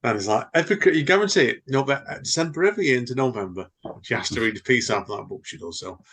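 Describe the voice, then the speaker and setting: Bloke with a raspy husky voice, lively pitch, hosting a casual flash fiction night. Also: male voice, fast talker. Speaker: host and writer reading own work. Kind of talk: informal flash fiction readaround.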